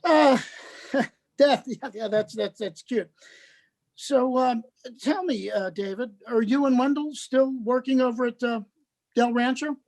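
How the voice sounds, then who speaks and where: A woman's voice: fairly high pitch, a little slow, darkish tone. A woman playing an awkwardly friendly, chatty male social worker in a dramatized script reading, hesitant and repeating words. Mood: awkwardly polite.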